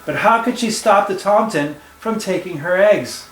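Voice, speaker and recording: Brief: male teacher, read-aloud storytime, expressive, slightly high voice, echoey home room. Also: moderate pace, background noise. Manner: warm, expressive storytelling with asides. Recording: echoey room with faint ambience.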